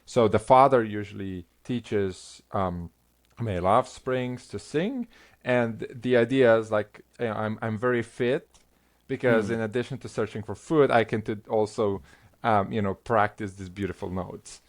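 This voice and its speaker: A middle-aged man with a Slovenian first language, a linguistics professor talking as a guest in an interview, halting with many restarts and fillers. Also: on the low side, fairly even intonation. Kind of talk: conversational interview.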